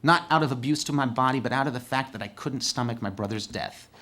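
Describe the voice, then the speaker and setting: A man recounts his own story to listeners in a candid, conversational way. He builds rhythm by stacking short repeated phrases one after another. His voice sits at an ordinary middle pitch for a man. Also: fast, sharp tone. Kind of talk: personal storytelling monologue.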